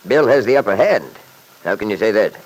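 An actor playing a wealthy, arrogant middle-aged father in a classic radio soap, gruff, blustering and short-tempered. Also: on the low side, lively intonation, a little fast, low-quality recording. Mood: indignant, scornful.

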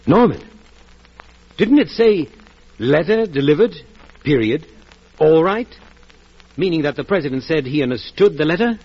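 An actor playing a smooth, excitable Wall Street speculator in an old-time radio drama. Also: male voice, a little high, normal speed, long pauses. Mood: anxious. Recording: dull vintage radio recording.

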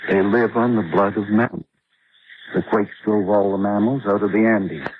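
Man acting a grave, low-voiced radio drama doctor. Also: fairly even intonation, moderate pace, natural voice. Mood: somber, measured. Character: grave, measured male doctor at a jungle outpost. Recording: noisy, dull old radio recording.

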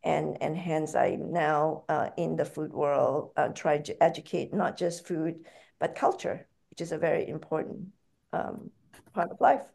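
A woman's voice: low-pitched, fairly even intonation, unhurried, creaky, darkish tone. A woman from Malaysia with a Malaysian accent, speaking conversationally as an interview guest. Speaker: podcast guest, author and food company founder.

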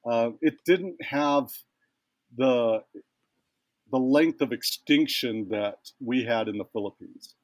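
Brief: man, mid-pitched, thoughtful guest spinning a long anecdote, dry close recording. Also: slow pace, no echo, rough recording. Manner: thoughtful, meandering, trails off and restarts. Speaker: guest, ritual tattoo practitioner. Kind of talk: storytelling in a conversation.

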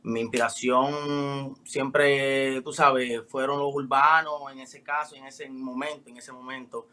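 A man in his twenties from the Dominican Republic with a Dominican accent, a rapper answering interview questions in relaxed slang. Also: mid-range voice, takes it slowly. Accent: Dominican Spanish. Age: 20s. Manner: relaxed, street slang with frequent "me entiendes" tags. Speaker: rapper guest.

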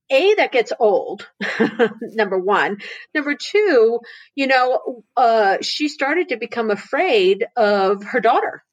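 A woman's voice: on the high side, highs cut off. A woman, whose was Italian, telling family stories as an interview guest, laughing often and restarting her sentences. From Italy. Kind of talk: casual storytelling.

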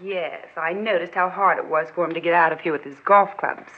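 An actress in an old radio drama playing a young secretary with a dry, sarcastic, exasperated manner. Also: female voice, lowish voice, brisk, lightly acted. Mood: wry, exasperated. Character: sardonic young female secretary, exasperated fiancée. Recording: dull noisy vintage radio recording.

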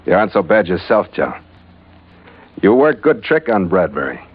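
An actor playing a tough, wry American ship captain in a hardboiled 1940s radio adventure, clipped and wisecracking. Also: male voice, low voice, big pitch swings, normal speed, breathy edge, full character voice. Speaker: lead actor and narrator. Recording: muffled vintage radio recording with music.

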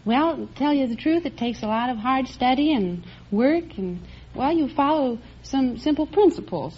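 A woman's voice: high-pitched, lively intonation, moderate pace, husky. A young American woman from California politely answering questions as a contestant in an old radio interview. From California, United States. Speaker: contestant, maths teacher. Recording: dull, hissy old radio recording.

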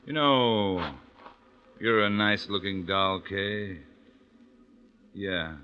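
An actor playing a ruthless smuggling captain in an old radio drama, with a threatening, sardonic, hard-boiled manner. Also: male voice, lowish voice, extremely slow, dull audio, slight background noise. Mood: mocking, cold. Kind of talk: 1940s radio acting. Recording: vintage radio drama recording.

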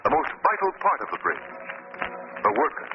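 Loud music runs behind a portentous radio narrator on a muffled vintage recording. His pitch moves widely as he delivers solemn, emphatic narration. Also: huge pitch swings, fairly quick, a crowd in the background. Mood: solemn, ominous. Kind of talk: old-time radio dramatic narration.

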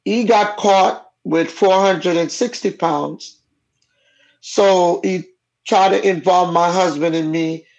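A woman's voice: fairly low pitch, steady tone, slow pace, darkish tone. Jamaican lady, patois first language, telling her story straight up on a muffled lo-fi line. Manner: frank, conversational storyteller. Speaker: guest. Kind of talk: personal storytelling in a casual interview. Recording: dull lo-fi audio.

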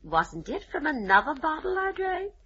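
An actress playing a sweet, fluttery, hesitant gentlewoman in an old-time radio drama. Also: sits high, sing-song, normal speed, full character voice. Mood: tender, hesitant. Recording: muffled old radio recording.

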